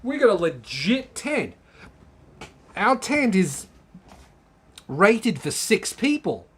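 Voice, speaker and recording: A man's voice: high-pitched, big pitch swings, takes it slowly, a bit bright, husky. A young man casually chatting on a live stream, rambling, reading comments aloud and swearing freely. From Australia. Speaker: channel host.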